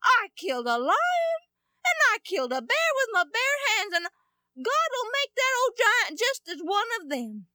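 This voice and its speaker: A woman's voice: extremely high pitch, huge pitch swings, moderate pace, pushed and bright, dry recording, voice pushed up, heavily acted. A woman voicing an earnest, brave young shepherd in a children's radio Bible story, insisting on doing what is right.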